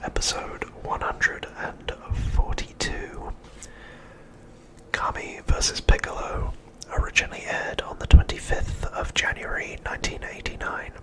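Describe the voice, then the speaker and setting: A man narrating an episode recap as an ASMR host in a hushed, breathy, unvoiced whisper, with deliberate delivery. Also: rough recording.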